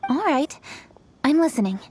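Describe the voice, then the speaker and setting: A young woman playing a composed, dutiful noblewoman official in a video game, speaking in a formal, measured and polite way. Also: high voice, lively intonation, noisy background. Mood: calm, attentive. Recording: faint background music.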